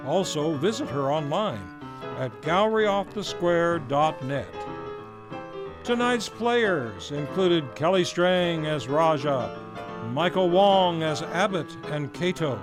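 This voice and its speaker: A man, the series host, reading the closing credits and announcements of a radio play in a polished, formal announcer manner. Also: on the high side. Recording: music playing underneath. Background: music.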